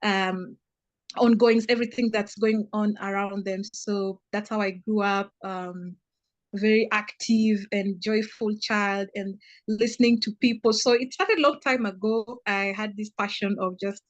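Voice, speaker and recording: A young adult woman from Kenya with a Kenyan accent, a guest telling her life story with many pauses and restarts. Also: mid-range voice, steady tone, no echo, dull audio. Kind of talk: casual interview answers.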